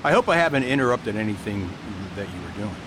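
A man playing a kindly priest in an amateur radio drama, gentle, reassuring and measured. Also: lowish voice, sing-song, noisy background. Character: kindly, gentle middle-aged male priest. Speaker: Father Brown.

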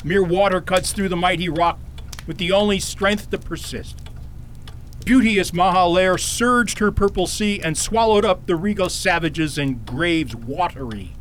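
Man acting a pompous, high-voiced eccentric king. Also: measured pace, fairly bright tone, a little hiss or hum. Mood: grand recitation. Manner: grandiose, theatrical wording. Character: pompous eccentric king, wordy self-styled storyteller. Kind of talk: fantasy radio drama acting.

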